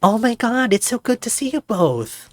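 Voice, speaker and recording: A performer voicing an excitable young woman, a gushing and exuberant daughter in a visual novel. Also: mid-range voice, normal speed, no room sound, soft background music, voice pushed up, natural voice. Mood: delighted. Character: bubbly, excitable young daughter showing off her girlfriend.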